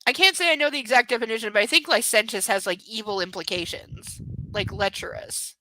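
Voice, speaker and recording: A woman reads a novel aloud on a live stream from home, her bright, fairly high voice close and dry on a camera mic. She keeps stopping to poke fun at the story in chatty, sarcastic asides. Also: a little fast, no room sound, rough recording. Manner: sarcastic, chatty asides between read passages. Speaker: book reader and streamer. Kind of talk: live book reading with casual commentary.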